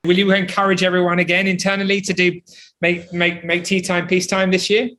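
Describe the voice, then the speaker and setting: A man's voice: sits high, flat delivery, a little fast, pushed and bright. A man interviewing a guest, effusive and full of praise, with fillers. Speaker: interviewer, charity founder. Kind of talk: conversational interview.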